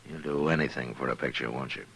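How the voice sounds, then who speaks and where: An American actor playing a stern, principled Old West marshal in a terse, deliberate radio western style. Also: male voice, a bass voice, a bit bright, breathy edge, heavily acted. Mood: stern, accusing. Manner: terse, deliberate, plain-spoken. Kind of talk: 1950s radio western acting. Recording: vintage 1950s radio recording.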